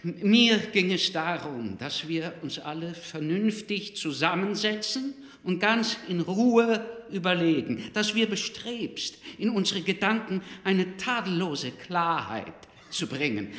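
High-pitched, fussy philosophy professor. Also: male voice, fairly bright tone, exaggerated voice. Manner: stammering, fussy, over-deferential. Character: Immanuel Kant, pedantic, meek philosophy professor urging calm. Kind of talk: radio play acting. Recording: echoey hall, long reverb.

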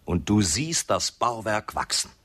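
Grandiose bridge builder, ironic, suave, widely swooping intonation, theatrical radio drama. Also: male voice, fairly low pitch, big pitch swings, unhurried, fairly bright tone, husky, full character voice. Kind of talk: German radio drama acting.

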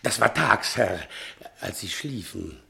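A man's voice: fairly low pitch, animated, slow pace, gravelly, sharp tone, full character voice. An actor playing a frightened, shifty manservant in a German radio play, pleading and hesitant, stumbling over his excuses. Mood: stammering, stalling. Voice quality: stammering, hesitant. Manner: pleading, servile, theatrical. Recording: vintage 1960 radio studio recording.

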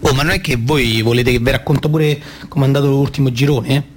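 A man's voice: mid-range voice, steady tone, brisk, a little hiss or hum, natural voice. Man acting an eager Roman little boy. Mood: boastful, eager. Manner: childlike, rambling, eager, easily distracted. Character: naive, boastful, interrupting young football-mad boy. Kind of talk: colloquial stage monologue and comic dialogue.

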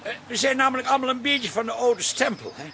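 A placating young son in a radio comedy. His high, bright voice stumbles and hesitates as he tries to smooth-talk, with ambient noise behind him. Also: lively intonation, fast, full character voice. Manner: hesitant, coaxing, stammering. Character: awkward, placating grown-up son, smooth-talking flatterer. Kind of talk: Dutch radio comedy drama acting.

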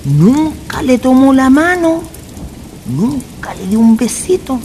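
Casting: man playing drunk Chilean villager, full of bluster, unhurried but lively intonation, radio drama. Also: extremely high pitch, a little hiss or hum, full character voice. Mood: amused.

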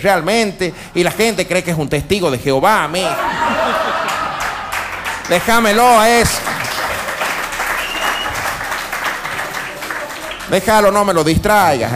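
A man's voice: high-pitched, background noise. A man playing a folksy, foul-mouthed, exasperated Argentine provincial boss in a satirical radio sketch, grumbling and repeating himself colloquially. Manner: grumbling, colloquial, repeats words and pet address.

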